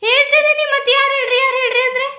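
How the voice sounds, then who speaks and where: High-pitched, fast young Kannada woman, echoey room. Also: fairly even intonation, bright, forceful tone. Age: young adult. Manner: pushy, bantering, rapid questioning. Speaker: vlogger making prank calls. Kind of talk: casual teasing phone chat. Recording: roomy, echoey indoor sound.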